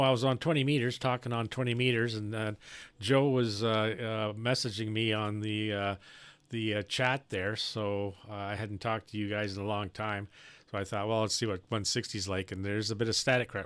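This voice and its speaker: A man making casual amateur radio conversation as a streaming operator, in a halting manner with throat clearing. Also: on the low side, fairly bright tone, no echo. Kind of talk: casual ham radio chat. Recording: clear background noise and clicks.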